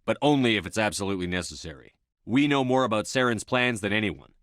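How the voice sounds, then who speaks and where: An actor playing a stern, steady human military commander in a sci-fi video game, clipped, authoritative and matter-of-fact. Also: male voice, fairly low pitch, fast talker, dry recording, studio quality, lightly acted. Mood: resolute. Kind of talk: video game dialogue acting.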